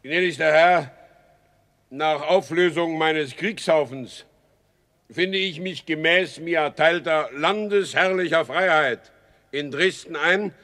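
An actor playing a proud, wary horse dealer in a radio drama, respectful but firm, speaking formally and deliberately. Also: male voice, fairly high pitch, a bit bright. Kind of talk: German radio play acting.

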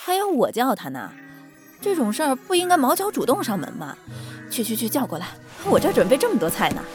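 Older mother: brisk, chiding, hospitable, extremely animated colloquial drama delivery over clear music. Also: fairly high pitch. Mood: bustling, chiding. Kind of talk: audio drama acting, colloquial. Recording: music behind the voice.

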